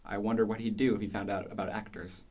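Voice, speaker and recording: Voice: sits low, little pitch movement, fast, a little mellow. A performer playing a proud, argumentative male Renaissance philosopher in exile in a radio play, speaking in a dry, haughty manner. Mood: dry, defensive. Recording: faint background noise.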